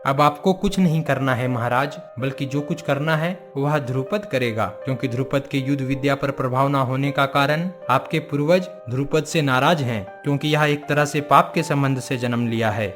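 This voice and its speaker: A man's voice: mid-range voice, moderate pace, hardly any pauses, fairly bright tone, faint music behind, lightly acted. Solemn wise forest guru played by a man. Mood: solemn, instructive. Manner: solemn, instructive, formal wording. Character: wise male forest guru, grave and all-knowing. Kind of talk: Hindi cartoon moral-story acting.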